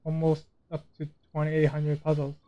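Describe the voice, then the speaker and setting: A man's voice: on the high side, monotone, normal speed, warm tone, highs cut off. A young man giving casual game commentary, thinking aloud in rambling asides. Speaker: gaming streamer. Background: game music.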